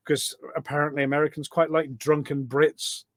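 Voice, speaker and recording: A man's voice: fairly high pitch, little pitch movement, moderate pace, no echo, clean studio sound. A British man telling anecdotes in a casual, enthusiastic, rambling way as a podcast guest. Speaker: podcast guest, voice pack developer. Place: small studio.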